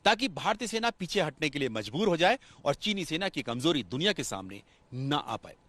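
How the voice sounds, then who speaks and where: Animated male news voice-over, higher pitched. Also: fairly quick, heavy background noise. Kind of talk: scripted news narration.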